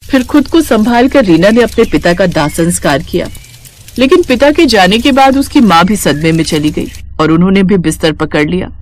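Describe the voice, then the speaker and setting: A woman's voice: mid-range voice, very animated, moderate pace. A woman narrating a scripted story as its storyteller.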